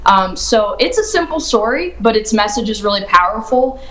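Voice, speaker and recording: Young woman from Ohio, book author, sincere and upbeat, middle pitch, roomy echo. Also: lively intonation, moderate pace, fairly bright tone. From United States, grew up in Ohio. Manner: earnest and upbeat, thinks aloud. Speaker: children's book author, guest. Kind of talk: remote interview answers. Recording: echoey room.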